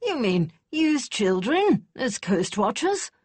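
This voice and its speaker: A woman's voice: medium pitch, lively intonation, a little slow, vocal fry, slightly rough, no room sound, exaggerated voice. A curt, growling old war commander, as a woman narrator puts him on, his lines short and blunt. The reading sits close and dry. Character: gruff male military commander, older.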